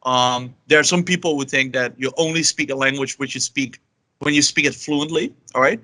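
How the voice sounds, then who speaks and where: Dutch guy, young adult, loose chatty interview answers full of fillers, bright tone, dry close sound. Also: mid-range voice, fairly even intonation, moderate pace, no room sound, poor audio. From Netherlands. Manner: rambling, many fillers like "you know" and "like". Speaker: guest, language learner.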